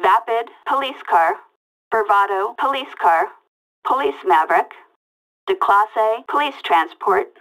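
Female police dispatcher for a game: medium pitch, unhurried, detached, word-by-word callouts with radio processing. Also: expressive, a bit bright, rough recording. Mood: flat, procedural. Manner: clipped, detached, word-by-word delivery. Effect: police radio filter. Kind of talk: reading out vehicle makes and models as scanner callouts.

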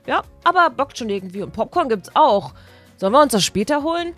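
High-voiced woman acting out toy stories. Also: a little fast, soft background music. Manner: expressive, casual youth slang. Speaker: story channel creator voicing characters.

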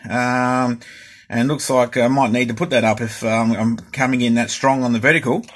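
A middle-aged man with an Australian accent and a husky voice, talking casually and a little hesitantly as he hosts a hobby vlog. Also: medium pitch, fairly even intonation, normal speed, heavy background noise. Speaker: host and radio hobbyist.

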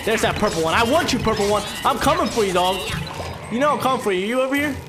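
Congested young man gaming, high and quick. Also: expressive. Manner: hyped, chatty, talks to viewers. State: congested, stuffy nose. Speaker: gaming channel host. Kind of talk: gameplay commentary. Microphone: headset. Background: game music.

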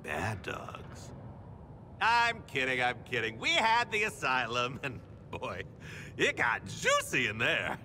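Flamboyant clown villain for a game, echoey, loud rumble behind. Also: male voice, wildly swooping pitch, slow, exaggerated voice. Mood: mocking. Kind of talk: video game character acting.

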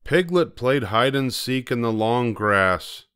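An older man narrating a children's story expressively, with deliberate pauses for effect. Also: mid-range voice, expressive, fairly bright tone, smooth, no echo, studio quality.

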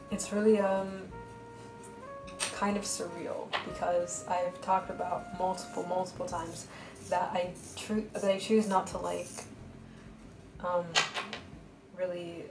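Woman rambling over a drawing video, flat monotone, kinda low, roomy sound w music behind. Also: takes it slowly, long pauses. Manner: flat, monotonous speech pattern; blunt and rambling, many fillers. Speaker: art vlogger. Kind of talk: casual chatty voice-over while drawing.

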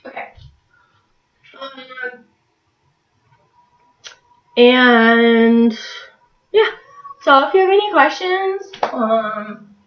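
A woman's voice: sits high, extremely slow, pauses often, a little hiss or hum. A woman in her thirties casually chatting through meal prep tips in her home kitchen, rambling with lots of ums, getting over a cold, recorded by a camera across the room. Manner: rambling, many ums, moves around while talking. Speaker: fitness vlogger. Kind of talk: casual how-to chat. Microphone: far camera. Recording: roomy, distant, dull camera audio.

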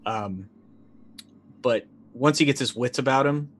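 A man in his forties playing a tabletop roleplaying game, casually narrating his character's actions and thinking aloud haltingly. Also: mid-range voice, slight background noise. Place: indoor room, online video call.

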